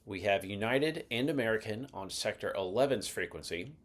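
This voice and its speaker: Low-voiced man narrating an explainer, slightly husky. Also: lively intonation, moderate pace. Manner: methodical, explanatory. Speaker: host of an aviation explainer channel. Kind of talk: scripted instructional narration.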